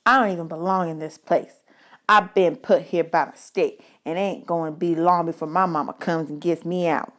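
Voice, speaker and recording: A woman's voice: lowish voice, fairly even intonation, normal speed, fairly bright tone, close to an everyday voice. A woman voicing a boastful, sneering orphanage boy bully with a drawling, taunting delivery, in a storybook reading. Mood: smug.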